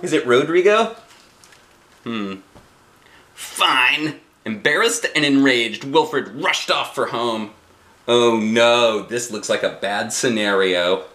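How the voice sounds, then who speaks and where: A middle-aged man reading aloud to a child as a dad would, playful and expressive, with chatty asides and questions. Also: mid-range voice, slow. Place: small living room indoors. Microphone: camera a short distance away.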